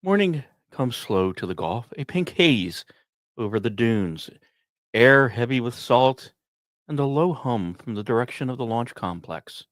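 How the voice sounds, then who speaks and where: A man hosting a podcast, reading scripted narration in a measured, dramatic storytelling way with occasional conversational asides. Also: medium pitch, big pitch swings, no room sound, studio quality. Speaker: podcast host.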